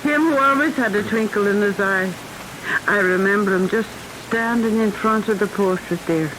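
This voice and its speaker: An actress playing a chatty middle-aged Irish aunt in a radio drama, reminiscing fondly with an Irish accent. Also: female voice, medium pitch, moderate pace, natural voice. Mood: wistful. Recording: hissy old radio drama broadcast.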